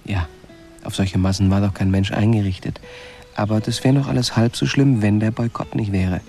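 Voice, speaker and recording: Radio drama actor: easygoing, wry colony owner, colloquial rambler, lowish and creaky. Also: male voice, fairly even intonation, moderate pace, darkish tone, faint music behind, natural voice. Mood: indignant, resigned. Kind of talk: radio play acting, conversational monologue.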